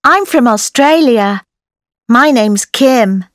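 A woman voicing children and reading prompts in a textbook recording for young learners, in a clear, careful way. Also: a little high, lively intonation, takes it slowly, no room sound, clean studio sound. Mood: cheerful. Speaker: textbook audio voice actor. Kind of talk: slow scripted textbook dialogue for children.

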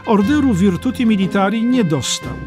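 A man's voice: sits high, animated, normal speed, over music. A man reading scripted history narration as an audiobook narrator.

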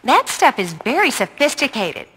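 An actress playing a sweet, bubbly suburban housewife in a video game, with a homely, cheerful manner. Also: female voice, high-pitched, very animated, moderate pace, a bit bright, husky, long reverb tail, full character voice, poor audio. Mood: chirpy. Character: chirpy, sweet suburban housewife drug expert.

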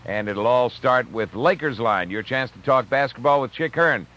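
A man's voice: mid-range voice, lively intonation, normal speed, fairly bright tone. A man presenting on the radio with a casual, on-air linking style.

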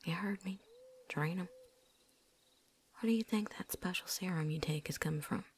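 Girl doing a tough blunt vampire hunter lady, low husky whisper, folksy drawl, asmr roleplay. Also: normal speed. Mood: wry, explaining. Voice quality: breathy, whispery. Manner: folksy drawl with dropped endings, put-on accent. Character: tough, world-weary female vampire hunter, blunt and loyal.

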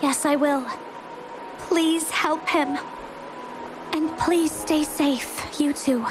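A woman's voice: sits high, fairly even intonation, very slow. A woman playing an anxious but determined young child in a video game, earnest and pleading. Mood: subdued, pleading. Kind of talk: scripted video game voice acting. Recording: clear background noise.

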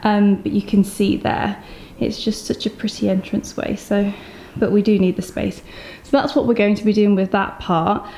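A woman's voice: medium pitch, fairly even intonation, moderate pace, few pauses, warm tone. A woman in her thirties with an English accent, chatting casually and enthusiastically as she vlogs. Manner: enthusiastic, gushing. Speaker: vlogger. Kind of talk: casual vlog tour, chatty. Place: home room, car, brick barn and yard. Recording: echoey rooms, noticeable background noise.

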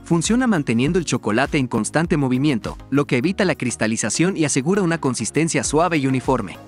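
A man narrating a scripted promotional explainer in a measured, formal read-out manner. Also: mid-range voice, lively intonation. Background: background music.

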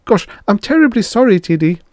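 A man voicing a nervous, eager male studio assistant, high and anxious. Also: very animated, a little fast, a little mellow, no echo.

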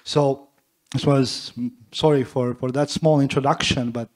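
A man, moderating a panel discussion into a handheld mic, halting with many restarts and fillers. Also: mid-range voice, steady tone, a little mellow. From Latvia. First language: Livonian. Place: large hall with audience.

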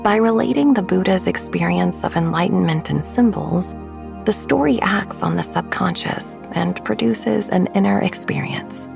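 A woman narrating a sleep meditation in a soft, breathy, gravelly voice with a soothing, unhurried delivery. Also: fairly low pitch, music in the background. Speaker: podcast host and meditation guide.

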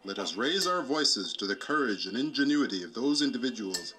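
A man's voice: mid-range voice, very animated, fairly quick, pushed and bright, slight background noise. A man voicing a pompous, easily flustered American president puppet with theatrical, grandiose delivery. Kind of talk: live puppet show parody oratory.

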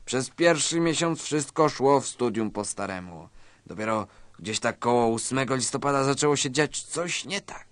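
A man's voice: medium pitch, animated, normal speed, dry recording. A man narrating a satirical story in a scripted, dramatic storytelling style.

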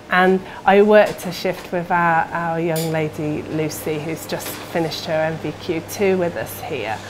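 A woman's voice: lowish voice, background noise. A British woman in her thirties speaking in an interview as a cafe manager, on a lapel mic indoors. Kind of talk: interview testimonial. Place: indoors in a small cafe room.

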